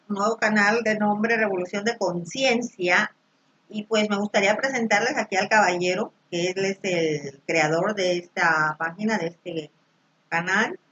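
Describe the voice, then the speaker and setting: A woman conducts an interview with brief, courteous questions, addressing the guest formally. Her voice is bright yet husky, and the recording sounds dull and lo-fi, with a muffled top end. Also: mid-range voice.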